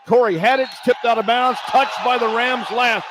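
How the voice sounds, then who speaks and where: A high-voiced man calls basketball live on the radio in short, clipped bursts that follow the action. He works as the play-by-play announcer, with a light wash of arena noise around his voice. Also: moderate pace. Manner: clipped phrases, animated on big plays. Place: indoor college basketball arena. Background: arena ambience.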